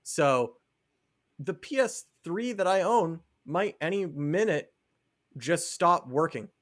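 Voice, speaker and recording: A man's voice: fairly high pitch, lively intonation, slow, fairly bright tone. A man co-hosting a podcast, talking casually and explaining his opinions on video games. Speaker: podcast co-host.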